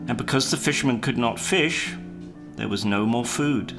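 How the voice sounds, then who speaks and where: A man reading a children's story aloud in a measured, expressive storytelling manner. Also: medium pitch. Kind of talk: scripted children's story narration. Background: guitar music.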